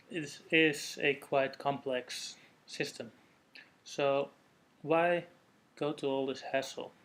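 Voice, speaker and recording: A Dutch-accented man delivers a prepared technical presentation in a measured, explanatory way, sometimes correcting himself mid-phrase. His pitch sits mid-range and stays fairly level, with little rise and fall. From Netherlands. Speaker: conference speaker. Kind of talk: recorded tech presentation.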